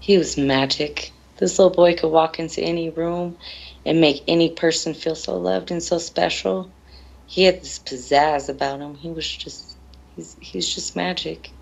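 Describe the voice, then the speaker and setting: Grieving mother, interviewee. Deep female voice with fry, halting plain speech. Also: steady tone, low-quality recording. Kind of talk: emotional television interview.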